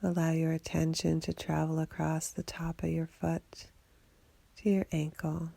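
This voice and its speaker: A woman guiding a body scan meditation for sleep in a soothing, unhurried, gently instructing way. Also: low voice, completely flat delivery, vocal fry, dry recording. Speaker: meditation guide.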